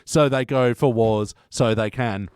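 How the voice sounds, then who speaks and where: A man's voice: mid-range voice, measured pace, a little mellow, clear, resonant tone, no room sound, studio quality. A man co-hosting a podcast in blunt, sweary, casual chat about a TV show. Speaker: podcast co-host.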